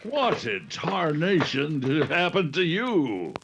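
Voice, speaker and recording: A man performs a ruthless gang boss in an old-time radio adventure. His voice is gravelly and husky and swings widely in pitch. He takes his time, halting and menacing. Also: sing-song, lo-fi sound, background noise, exaggerated voice. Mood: exasperated. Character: Red Markheim, ruthless gang boss posing as a grandpa.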